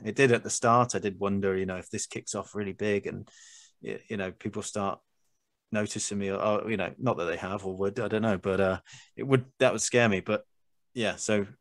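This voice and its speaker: A British man with a low voice hosts a casual podcast chat. He speaks earnestly, wandering and repeating words as he thinks, in a dry recording with no room sound. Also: dry recording. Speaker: podcast host. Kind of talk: casual conversational interview.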